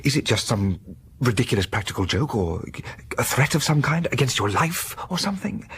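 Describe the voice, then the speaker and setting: A man's voice: mid-range voice, sing-song, a little fast, fairly bright tone, a little hiss or hum. A man with an English accent acting an earnest, polite, flustered clergyman in a radio horror drama, with a hesitant, stammering manner. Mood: anxious.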